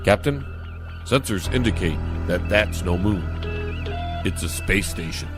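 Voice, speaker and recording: A man playing a coolly logical alien science officer in a sci-fi parody audio drama, deadpan, precise and formal. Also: low voice, unhurried, over music. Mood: puzzled, analytical.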